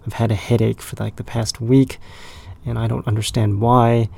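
A man hosting a punk rock radio show, talking in a loose, rambling way with a raspy, slightly hoarse voice. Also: mid-range voice, flat delivery, moderate pace, mellow. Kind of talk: casual radio DJ chat.

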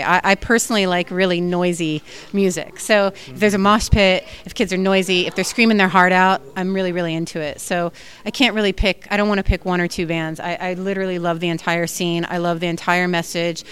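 A woman's voice: fairly low pitch, level tone, brisk, a bit bright. A woman film director answering interview questions in an earnest, enthusiastic way, with frequent fillers.

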